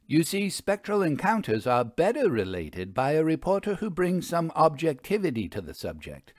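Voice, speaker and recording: A man's voice: on the high side, huge pitch swings, normal speed, no echo, studio quality, pitched above the performer's own voice. A man voicing a spirited, opinionated older woman who investigates ghosts, speaking with theatrical emphasis in a story reading. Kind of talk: audiobook character dialogue.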